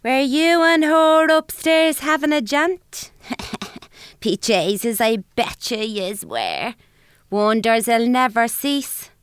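A woman's voice: a little high, animated, takes it slowly, clean tone, no echo, studio quality. A woman acting a scornful, crude older mother in an Irish comedy audio drama, brash and mocking with a Dublin accent.

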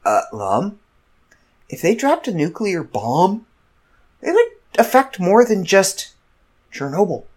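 Congested woman rambling, lively pitch. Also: takes it slowly, pauses often. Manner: rambling, self-correcting storyteller. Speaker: podcast host. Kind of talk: casual solo chat.

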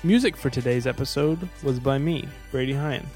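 A man co-hosting a podcast, reading a scripted sign-off with a deadpan, joking manner. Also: medium pitch, moderate pace. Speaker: podcast co-host and composer. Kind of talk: light scripted podcast outro with dry jokes. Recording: music bed behind voice.

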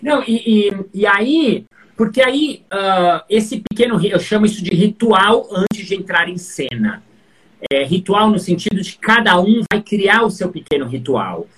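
A man's voice: high voice, measured pace, rough recording. A middle-aged man who coaches public speaking, talking casually in an interview and telling stories with lots of fillers and restarts. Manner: storytelling, many fillers and restarts, animated examples.

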